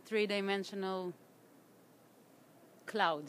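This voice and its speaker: Singer from the old ussr, a bit of noise around. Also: female voice, medium pitch, steady tone, slow pace, fairly bright tone. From Soviet Union. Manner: slightly non-native English. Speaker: band singer. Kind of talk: casual interview chat. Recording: background noise.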